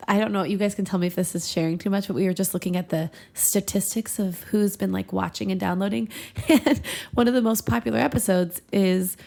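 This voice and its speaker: A woman's voice: mid-range voice, steady tone, brisk, creaky, a little mellow. A woman co-hosting a podcast in casual comedic chat, talking in a chatty, giggly way. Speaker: podcast co-host.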